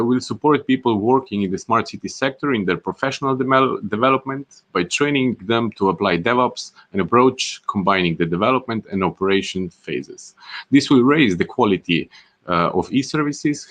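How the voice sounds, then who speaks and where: Male webinar host, low voice, halting delivery full of fillers, introducing an online course. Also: a bit bright. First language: not Greek. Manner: hesitant, many "uh" fillers and restarts. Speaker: webinar moderator.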